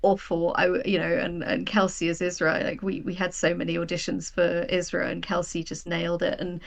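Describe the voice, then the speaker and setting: A woman's voice: fairly low pitch, completely flat delivery, a little fast, creaky. Chatty woman writer in casual interview. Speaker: guest, audio drama writer.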